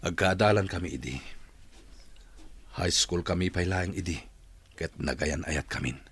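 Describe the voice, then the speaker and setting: Deep, husky lovelorn man. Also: normal speed, a bit bright, heavily acted. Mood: wistful. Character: lovelorn man searching for his long-lost former sweetheart. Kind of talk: radio drama acting.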